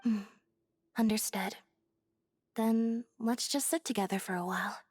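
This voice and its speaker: A woman playing a calm, reserved, caring young woman in a video game, speaking evenly and deliberately. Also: a little high, no echo. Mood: calm, concerned. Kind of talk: scripted video game voice acting.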